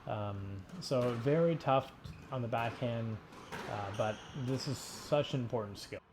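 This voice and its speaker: A man with a medium-pitched voice gives instructional sports commentary as a coach. He explains in an informal, halting way, piecing his phrases together as he goes. Clear background noise with bouncing ball sounds runs behind his voice. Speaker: squash coach. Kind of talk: instructional sports commentary over drill footage.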